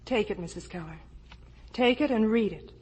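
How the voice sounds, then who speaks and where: A woman's voice: mid-range voice, animated, moderate pace, darkish tone, breathy edge. A woman acting in a 1950 radio drama as a determined young woman, polite, earnest and pleading. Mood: grave, firm. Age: young adult. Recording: echoey old radio recording.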